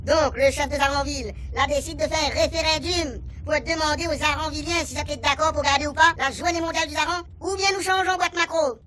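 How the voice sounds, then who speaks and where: A woman with a Réunionese Creole accent voicing an excitable alien official who rambles on in a radio comedy serial. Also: high voice, brisk, few pauses, background noise, natural voice. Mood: excited. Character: chattering alien official from another planet.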